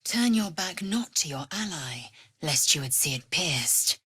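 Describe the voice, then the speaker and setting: A haughty, militant young alien warrior woman for a video game. Her voice is low, husky and breathy, recorded close and dry. She speaks slowly and formally, with imperious, clipped disdain. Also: very animated, bright, forceful tone, no room sound, exaggerated voice. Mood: stern, haughty.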